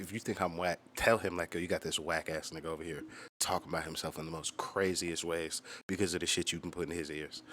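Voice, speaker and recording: A man's voice: low-pitched, a bit bright, slight background noise. A man giving casual, profanity-laced commentary on his own music, telling stories and acting out quoted exchanges as a musician hosting his channel.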